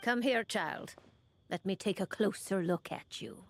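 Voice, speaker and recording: A woman playing a composed, knowing seer witch in a video game, with a measured, authoritative manner. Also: medium pitch, a little hiss or hum, lightly acted. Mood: solemn, knowing. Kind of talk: video game character acting.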